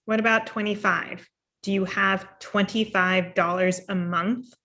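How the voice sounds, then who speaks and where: A woman's voice: mid-range voice, fairly even intonation, a little slow, bright, forceful tone. A woman playing a fed-up, blunt ex-girlfriend in a screenplay table read. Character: Emily, fed-up, blunt ex-girlfriend demanding rent money.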